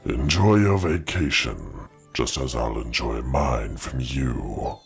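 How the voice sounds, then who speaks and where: A man playing a proud, grumbling but affectionate blue dragon with a gravelly voice in a fantasy audio drama. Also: lively intonation, slow, soft background music, exaggerated voice. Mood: scoffing. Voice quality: very deep, gravelly.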